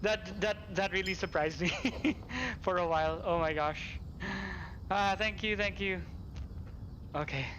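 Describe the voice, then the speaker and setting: A man chatting casually as a live game streamer, in an excitable, effusive way that repeats itself. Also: high-pitched, a little slow, a little hiss or hum, poor audio. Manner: excitable, repeats thanks, chuckles often. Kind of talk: casual livestream chat. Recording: game music behind. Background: game music and character voices.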